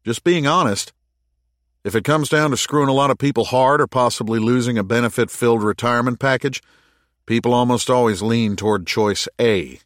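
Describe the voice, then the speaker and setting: A man voicing a gruff, skeptical moon colony worker in an audiobook, with blunt, sardonic retorts. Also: medium pitch, a little fast, no room sound, studio quality, natural voice. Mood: dry, matter-of-fact. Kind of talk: audiobook character dialogue.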